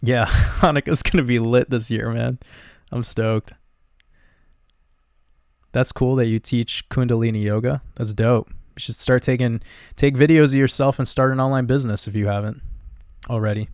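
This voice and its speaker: Young guy with a soft gentle voice, laid back livestream chat, dry close sound. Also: mid-range voice, moderate pace, long pauses, no room sound. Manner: relaxed, rambling, laughs easily. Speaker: livestream host. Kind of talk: casual live chat answering viewer comments.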